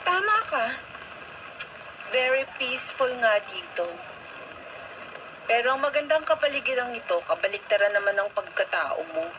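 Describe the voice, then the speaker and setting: A woman's voice: on the high side, very animated, moderate pace, pauses often. A woman playing a scornful, exasperated young girlfriend who scolds in a radio drama. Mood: calm, pointed. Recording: noisy radio drama with clear background noise.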